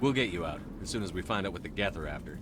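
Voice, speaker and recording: Casting: stoic, dutiful military commander for a video game. Low register, brisk delivery, firm and businesslike. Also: male voice, animated, background noise. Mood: firm, composed. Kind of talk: video game dialogue acting.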